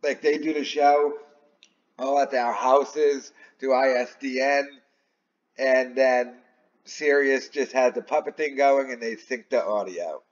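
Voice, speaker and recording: A man's voice: medium pitch, fairly even intonation, takes it slowly. A man giving casual opinion commentary in a baffled, rambling way, repeating phrases for emphasis. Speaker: online commentator. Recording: dull, lo-fi sound.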